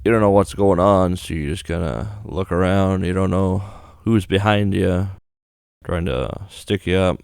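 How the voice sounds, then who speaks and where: A man's voice: sits low, fairly even intonation, a little slow, gravelly, darkish tone, clear, resonant tone, no echo, clean studio sound. A man hosting a gaming video, narrating a visual novel in a loose, offhand, casual way. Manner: loose, offhand paraphrasing of on-screen story. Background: video game music.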